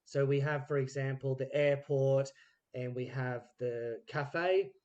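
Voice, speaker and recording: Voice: medium pitch, fairly even intonation, slow, a little mellow, lo-fi sound. Podcast host for casual interview and improv games, middle-register voice.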